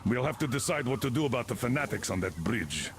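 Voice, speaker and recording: An actor playing a stern older military commander who briefs with brisk authority in a video game. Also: male voice, medium pitch, very animated, a little hiss or hum. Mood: resolute.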